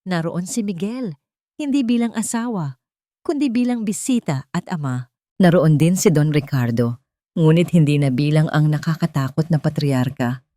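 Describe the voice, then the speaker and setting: A Filipino woman narrates a dramatic love story as a storyteller. Her voice sits fairly low for a woman and the sound is dry and close. The delivery is measured and sentimental. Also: expressive, dark tone, dry recording.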